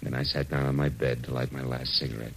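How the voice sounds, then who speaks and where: An actor playing a wry, easygoing, flirtatious private detective in a 1940s radio drama. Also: male voice, extremely low pitch, level tone, fast talker, gravelly, fairly bright tone, a little hiss or hum, full character voice, low-quality recording. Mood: wistful, wry narration. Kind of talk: 1940s radio drama acting and first-person narration. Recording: old radio recording with music behind.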